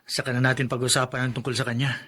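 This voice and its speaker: An actor playing a dutiful young husband, earnest and reassuring, in a Filipino radio drama. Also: male voice, medium pitch, flat delivery, racing, sharp tone, a little hiss or hum, close to an everyday voice.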